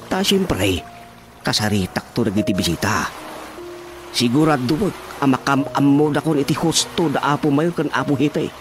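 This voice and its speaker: An actor with an Ilocano accent playing a boastful, excitable husband and councilman in a radio comedy drama. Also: medium pitch, moderate pace, close to an everyday voice. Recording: music behind the dialogue.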